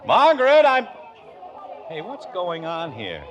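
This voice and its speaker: A man's voice: sits high, huge pitch swings, slow pace, heavily acted. An actor playing a grumpy middle-aged sitcom father, exasperated and sarcastic, in an old radio comedy broadcast. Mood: puzzled, annoyed. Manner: blustering, sarcastic. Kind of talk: 1950s radio comedy acting. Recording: old radio broadcast, crowd noise behind. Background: noisy crowd.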